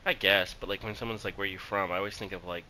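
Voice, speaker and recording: A man's voice: deep voice, fairly even intonation, quick pace, sharp tone, slight background noise. A man chatting with friends while gaming, playful and rambling as he jokes about family. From born in the Philippines, raised in many places. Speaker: gamer in a voice-chat squad. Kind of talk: casual game voice chat.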